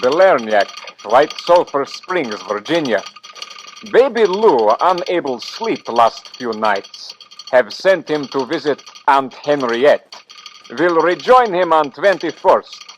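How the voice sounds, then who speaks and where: An actor playing a telegraph clerk reading out a telegram phrase by phrase, in an old-time radio drama. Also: male voice, a little high, big pitch swings, a little hiss or hum, rough recording. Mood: mechanical, official. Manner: slow, spelled-out phrase-by-phrase reading.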